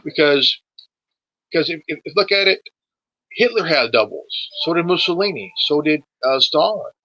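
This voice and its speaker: A man in his forties talks politics casually in a forceful, slightly high voice. He is emphatic and salty, often repeating words as he gets to his point. The sound is dry and a little dull. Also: expressive, normal speed. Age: 40s.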